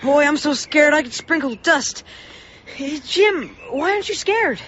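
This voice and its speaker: A man's voice: extremely high pitch, lively intonation, unhurried, pushed and bright, lo-fi sound, slight background noise, heavily acted. An actor playing an anxious, loyal boy who narrates his adventure in a radio drama. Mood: frightened, urgent. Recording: band-limited radio broadcast with music underneath. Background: dramatic music.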